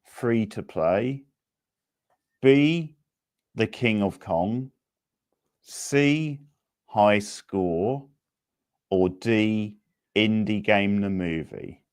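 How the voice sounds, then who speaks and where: A man works as the host of a casual quiz about video games. His voice sits low and he takes his time, setting out each option and answer deliberately. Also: darkish tone.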